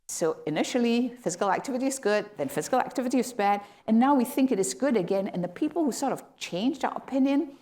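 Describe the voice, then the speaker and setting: A woman's voice: medium pitch, darkish tone. A middle-aged woman from Malaysia giving an academic lecture as a guest speaker. She explains steadily and lifts her voice to stress key words. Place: lecture hall.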